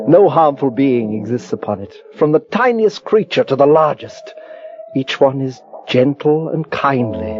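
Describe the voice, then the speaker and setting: An actor playing a powerful, lovestruck alien man in old radio drama style, speaking in a formal, measured, declamatory way. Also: medium pitch. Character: grave, ardent alien man with great powers, courting a human woman. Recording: muffled vintage radio recording, music behind.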